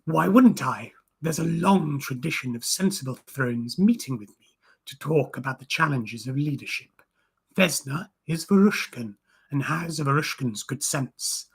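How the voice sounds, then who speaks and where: A woman voicing an ancient, wry and commanding female crone creature in a storybook reading, with a raspy voice and a slow, low, knowing manner. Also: animated, heavily acted. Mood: dry.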